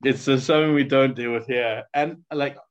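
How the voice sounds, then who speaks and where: A man's voice: medium pitch, fairly even intonation. A man from South Africa asking questions in a casual interview, often restarting his sentences. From Durban, South Africa. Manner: conversational, halting restarts. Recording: slightly dull lo-fi audio.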